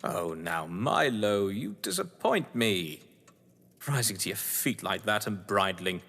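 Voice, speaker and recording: An actor playing a suave, sardonic upper-class English novelist, wordy and condescending, in a theatrical audio drama. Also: male voice, on the low side, lively intonation, slow, creaky, a bit bright, exaggerated voice. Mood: taunting. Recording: echoey hall-like reverb.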